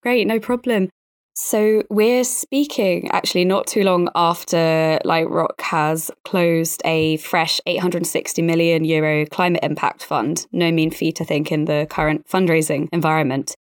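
A woman speaking as a podcast host, measured and articulate, in a presenting and interviewing style. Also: low voice, steady tone, no room sound, studio quality. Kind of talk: scripted podcast narration and interviewing.

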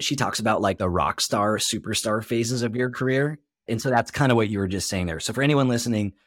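A man's voice: on the low side, dry recording, studio quality. A man hosting a podcast interview, reflecting on and summing up what his guest says. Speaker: podcast host. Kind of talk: conversational interview.

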